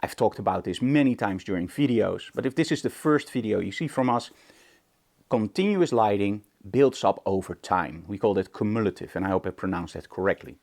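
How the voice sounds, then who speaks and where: Guy doing a casual photo tutorial, really lively up and down voice, chatty and emphatic. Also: lowish voice, a little fast. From Netherlands. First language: Dutch. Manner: emphatic, conversational, rhetorical questions. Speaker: photography instructor, show host. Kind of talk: informal tutorial talk to camera.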